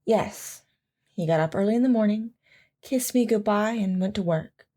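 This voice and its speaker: A woman playing a devoted military wife in an audio drama, gently and wistfully recalling life with her husband. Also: medium pitch, normal speed, close to an everyday voice. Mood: fond, reflective. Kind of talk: audio drama acting, reminiscing narration.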